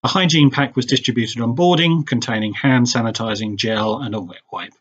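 A man's voice: mid-range voice, a little fast, a bit bright, breathy edge, muffled recording. A middle-aged British man reading a scripted travel vlog voice-over with dry, wry understatement. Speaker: travel vlogger.

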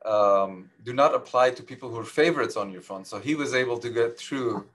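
A man's voice: mid-range voice, fairly quick, fairly bright tone, slight background noise. A man who grew up in Lebanon with Armenian as his first language, speaking modestly over a video call from an office, with many restarts and fillers. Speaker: Nobel laureate guest. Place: office with large window. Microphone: video call webcam.

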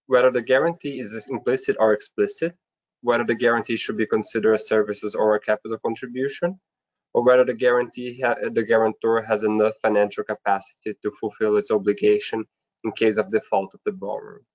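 A man's voice: fairly low pitch, little pitch movement, brisk. A man giving a formal, scripted slide presentation in a webinar, going through his points step by step. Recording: dull, lo-fi online webinar audio.

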